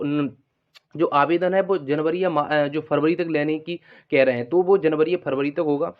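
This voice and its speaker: A man speaks quickly in a fairly high voice, hosting an informal update for exam aspirants. He explains without a script, circling back over his points and often tagging sentences with a quick check-in. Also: muffled recording. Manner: repetitive, explanatory, frequent check-in tags. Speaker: exam-news video host, coaching aspirants.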